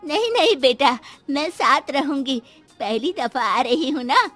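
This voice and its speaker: एक महिला हिंदी कहानी में बूढ़ी, विधवा, ममता भरी और भावुक माँ का किरदार निभा रही है, रुआँसे और मनुहार भरे लहजे में।. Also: female voice, high-pitched, lively intonation, unhurried, fairly bright tone, faint music behind. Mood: anxious, pleading. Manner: tearful, pleading, tender. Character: elderly widowed mother, doting, emotional, anxious to please. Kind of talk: Hindi moral story dramatisation.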